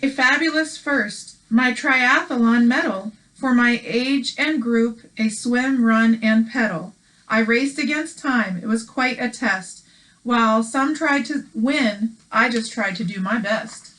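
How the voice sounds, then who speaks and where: Higher-voiced woman reading a children's book aloud. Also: slow, a bit bright, rough recording. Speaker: read-aloud co-host. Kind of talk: children's book read-aloud with casual chat.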